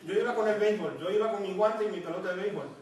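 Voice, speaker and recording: High-voiced Puerto Rican preacher in echoey hall. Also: male voice, rapid-fire, a little mellow. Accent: Puerto Rican Spanish. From Puerto Rico. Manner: storytelling, acting out quoted voices. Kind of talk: sermon with personal testimony. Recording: echoey hall, distant mic.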